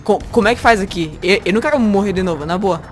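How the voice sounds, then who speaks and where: A man's voice: sits high, fast. Joking young Brazilian gamer man. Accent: Brazilian Portuguese. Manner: joking, excitable, swears a lot. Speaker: host. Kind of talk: casual gameplay commentary. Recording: game music behind.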